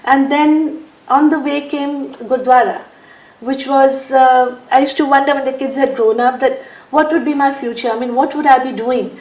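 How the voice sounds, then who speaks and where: A woman's voice: high-pitched, steady tone, normal speed, a little mellow, reverberant, rough recording. An older woman from India talking at an easy pace in an interview, laughing often and using lots of fillers. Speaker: interviewee, businesswoman. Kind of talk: relaxed conversational interview.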